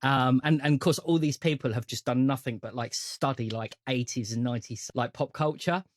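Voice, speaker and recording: A man's voice: mid-range voice, fairly quick. A man in casual podcast chat, talking in an enthusiastic, rambling way. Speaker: podcast co-host.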